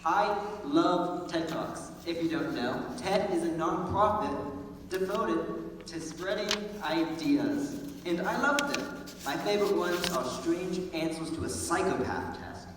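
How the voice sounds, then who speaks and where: A teenage boy with a high voice performs a student monologue on stage. He sounds far from the microphone, with heavy hall echo around him. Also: expressive, slow pace. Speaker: high school theater student. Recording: very echoey hall, distant mic, faint noise.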